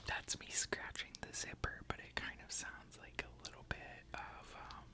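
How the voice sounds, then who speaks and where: A woman whispering casually into a close microphone for an ASMR video, chatty and giggly. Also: normal speed. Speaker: ASMR creator.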